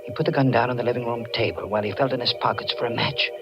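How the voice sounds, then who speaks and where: A man's voice: mid-range voice, fairly even intonation, lightly acted. An actor playing an anxious, guilt-ridden man on the run in a tense, dramatic 1940s radio mystery. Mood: haunted, tense. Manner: tense, dramatic, halting under pressure. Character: anxious, guilt-ridden male fugitive narrating his own nightmare. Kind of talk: 1940s radio mystery acting, first-person narration. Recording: muffled old radio recording, music behind.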